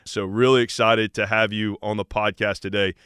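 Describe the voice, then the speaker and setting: A man's voice: fairly low pitch, level tone, normal speed, fairly bright tone, clear, resonant tone, no room sound, clean studio sound. An American man hosting a conversational podcast interview in an informal, encouraging way. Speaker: podcast host, army sergeant major.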